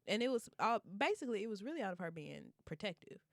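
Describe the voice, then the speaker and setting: A woman in her mid-twenties co-hosting a podcast, chatting casually and telling stories with lots of fillers and quick laughs. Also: medium pitch, very animated, vocal fry, dry recording, clean studio sound. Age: 20s. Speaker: podcast co-host. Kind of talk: casual chat.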